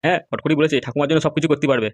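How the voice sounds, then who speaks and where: A woman's voice: sits low, very fast, darkish tone, dry recording. A woman playing a dutiful underling in a comedy cartoon, reporting to the boss in a deferential way. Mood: deferential, matter-of-fact. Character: dutiful underling relaying worried news to a madam.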